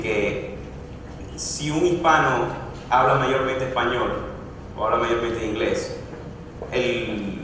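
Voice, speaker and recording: A man giving a conference presentation, explaining at length with frequent hesitations and restarts. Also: medium pitch. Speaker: conference speaker. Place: large conference room. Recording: echoey hall, distant mic, background noise.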